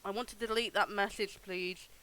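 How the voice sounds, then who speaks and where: A woman's voice: mid-range voice, steady tone, moderate pace, a bit bright, rough recording. A woman streaming a game with casual, sweary, reactive commentary.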